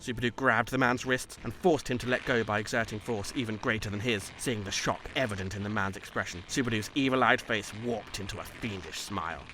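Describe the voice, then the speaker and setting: A male narrator with a lowish voice tells a story at a fast clip. His delivery is scripted, in the manner of an audiobook. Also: bright, forceful tone, faint music behind, poor audio.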